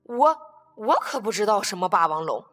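A woman's voice: medium pitch, very animated, moderate pace, fairly bright tone. A woman voicing a big male T. rex bully in a children's audio story, cackling when taunting and stammering when unsure. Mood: timid. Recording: reverberant room with music underneath.

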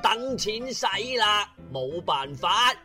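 A woman's voice: medium pitch, very animated, slow pace, pushed and bright, soft background music. A woman with a Guangzhou Cantonese accent narrating a comic radio drama in slangy, mocking storyteller style, drawing words out for emphasis.

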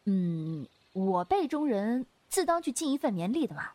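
A woman's voice: fairly high pitch, very animated, normal speed, warm tone, no echo. A woman playing a playful, earnest young swordswoman in a Chinese wuxia radio drama, with a hesitant, coaxing, theatrical delivery. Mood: sheepish, coaxing.

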